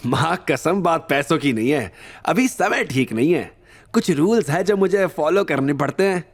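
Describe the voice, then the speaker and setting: A man's voice: fairly high pitch, lively intonation, normal speed, pitched above the performer's own voice. A man voicing a shady hired hitman in an audio drama, folksy and deferential, full of oaths and greed. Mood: reluctant.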